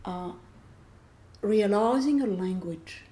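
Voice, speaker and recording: A woman in her sixties from France with a French accent, a novelist speaking reflectively in an interview, often pausing to search for words. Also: medium pitch, warm tone. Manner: thoughtful, searching for words, restarts phrases. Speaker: author being interviewed. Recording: echoey room.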